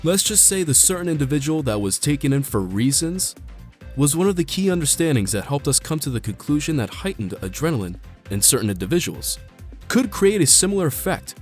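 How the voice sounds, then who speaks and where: A male head biologist acted by a man in an animated news interview. His voice is mid-pitched and very varied in melody, explaining deliberately and officially, with music underneath. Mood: measured, official.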